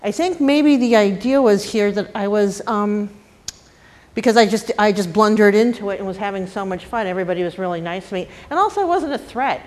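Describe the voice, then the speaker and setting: Older woman, Boston accent, wry, frank, self-deprecating, mid-pitched voice, candid panel talk. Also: expressive, fairly quick, darkish tone. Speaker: panel speaker.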